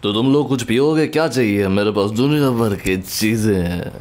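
A man's voice: fairly low pitch, normal speed, a little mellow, natural voice. A man voicing a boozy, washed-up thunder god in an amateur film dub, with a boastful, drawling delivery. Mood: breezy. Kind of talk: amateur Hindi film fandub acting. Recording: clear background noise with film ambience.

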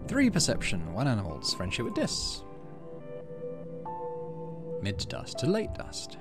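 A man hosting a gaming channel, low-key, casually commenting on play and reading story text aloud. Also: wildly swooping pitch, unhurried, over loud music.

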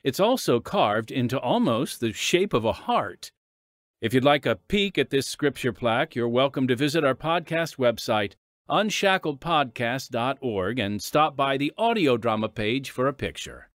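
An American man hosting a podcast, reading scripted radio announcements with measured, emphatic phrasing. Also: medium pitch, expressive, fairly bright tone, no room sound, clean studio sound. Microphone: close studio microphone. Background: music.

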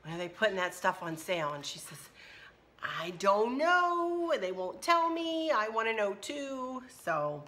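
A middle-aged woman, chatting casually through a craft shopping haul at home, her voice a little hoarse. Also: mid-range voice, big pitch swings, unhurried, bright, forceful tone. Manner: chatty, friendly, rambling. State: slightly hoarse, losing her voice. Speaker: craft vlogger. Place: kitchen at home, indoors.

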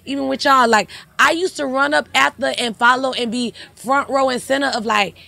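A woman, a guest actress telling animated stories in a casual podcast chat on a studio couch. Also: high voice, normal speed, gravelly. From Atlanta, USA. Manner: animated, storytelling. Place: indoor studio set with couches. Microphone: boom mic.

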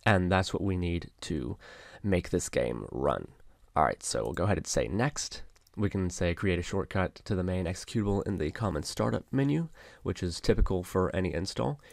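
A man with a low-pitched voice narrates a screen-share tutorial step by step. His delivery is informal and instructional, with plenty of vocal fry. He is recorded close up and dry, with no room sound. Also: lively intonation, normal speed, dry recording. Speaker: tutorial host. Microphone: close mic, voice-over on a screen recording.

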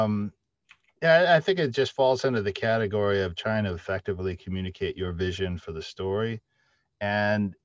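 Middle-aged male panelist, lowish voice, casual delivery, dry and slightly dull call recording. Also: no echo. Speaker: panelist, audio drama writer. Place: indoor room, on a video call. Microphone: video call microphone.

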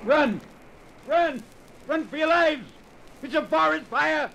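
An actor playing a frantic priest shouting an urgent warning in a 1950s radio drama. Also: male voice, extremely high pitch, expressive, slow, creaky, exaggerated voice. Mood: frantic alarm. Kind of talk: 1950s radio docudrama acting. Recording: 1950s radio recording, noisy hiss.

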